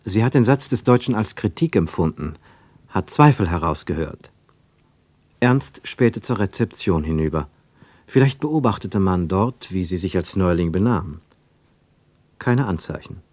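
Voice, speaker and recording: An observant male narrator for a literary radio play. His voice is fairly low and moves in lively swings, with wry, pointed phrasing. The sound is close and dry. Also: measured pace, no room sound.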